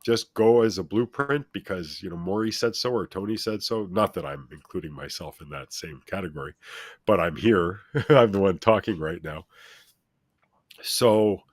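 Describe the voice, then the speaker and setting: A man with a very low, authoritative voice holds forth as a self-help guest. He addresses listeners directly and instructively, with emphasis on his points. The sound is dry, with little trace of a room. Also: normal speed, dry recording. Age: middle-aged or older. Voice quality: deep, authoritative voice. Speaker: talk show guest, self-help speaker. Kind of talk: motivational interview talk, speaking at length.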